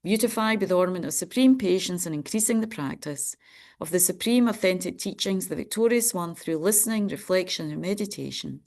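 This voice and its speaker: A woman Buddhist nun leading a prayer ceremony for an online group, reciting in a steady, measured way and chatting warmly with participants. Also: lowish voice, creaky. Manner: friendly, chatty greeting of participants.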